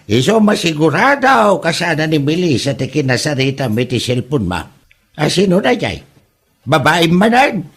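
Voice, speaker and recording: A man's voice: a little high, big pitch swings, normal speed, fairly bright tone, breathy edge, exaggerated voice. An actor playing a suspicious, scolding old father exasperated with his idle son in an Ilocano comedy drama.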